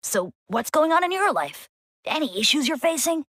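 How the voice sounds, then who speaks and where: Game role: breezy young deacon, mid pitch, very lively intonation, mischievous, close dry recording. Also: female voice, normal speed, dry recording. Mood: playful, teasing. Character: playful, bored young church deacon, cheeky and mischievous. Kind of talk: scripted game character acting.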